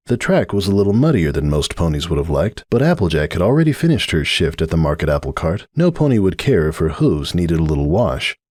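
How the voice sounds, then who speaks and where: A man narrating a scripted story in an expressive, measured storytelling manner. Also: sits low, no room sound, studio quality.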